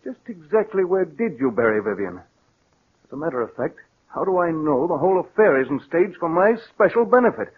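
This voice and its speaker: Husky, guilt-haunted radio-drama man. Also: a little high, big pitch swings, normal speed. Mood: suspicious, insistent. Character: guilt-haunted wealthy man turning suspicious and insistent. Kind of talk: 1940s radio drama acting. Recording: muffled vintage 1940s radio recording.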